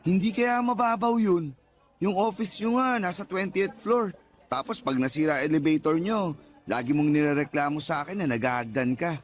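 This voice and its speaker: An actor playing a defensive, teasing, flippant young boyfriend with a Filipino accent in a radio drama, whining out excuses. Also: male voice, a little high, normal speed, close to an everyday voice. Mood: stubborn, flippant. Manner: whiny, flippant excuse-making. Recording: background music under the voice.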